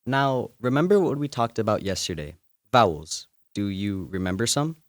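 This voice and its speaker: A man's voice: fairly low pitch, big pitch swings, normal speed, dry recording, clean studio sound. A man playing an earnest, courteous and gallant young man in a radio play. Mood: gentle.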